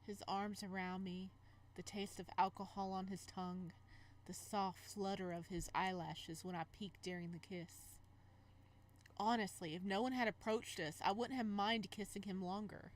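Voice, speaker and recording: A woman lets-player reads a visual novel aloud in an expressive way. She drops into casual, reactive commentary between passages and now and then stumbles over the words. Her pitch sits in a middle range for a woman. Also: moderate pace, slight background noise. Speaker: game streamer, let's player.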